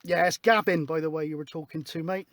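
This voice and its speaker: A high-pitched male voice works the CB radio, calling for other stations in a relaxed, informal style. He talks like a matey hobby operator leading the exchange. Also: fairly quick, a little hiss or hum. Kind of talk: casual radio calling and chat. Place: outdoors on a hillside in Wiltshire, at a CB radio set.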